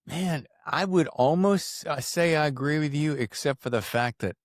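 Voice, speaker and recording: A man hosting a podcast, chatting casually and telling anecdotes in an animated way with acted-out quotes. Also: on the high side, moderate pace, no room sound, studio quality. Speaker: podcast host. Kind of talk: casual interview chat.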